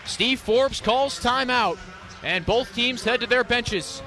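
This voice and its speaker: High-voiced American male sports announcer. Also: slow pace, sharp tone, soft background music. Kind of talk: play-by-play, interviewing and scripted reporting.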